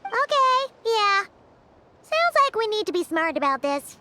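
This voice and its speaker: An actress playing a small, concerned, sensible child companion in a video game, with a hesitant, cautious manner. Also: female voice, very high voice, sing-song, unhurried, background noise, full character voice. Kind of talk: animated video game voice acting. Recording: music clearly under the voice.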